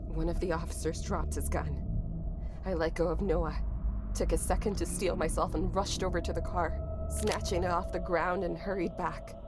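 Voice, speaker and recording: A woman's voice: lowish voice, steady tone, natural voice. Woman acting as a shaken survivor narrating horror, over music. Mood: grieving, tense. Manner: tense, halting, emotional storytelling. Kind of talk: first-person horror audio drama narration. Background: music under the voice.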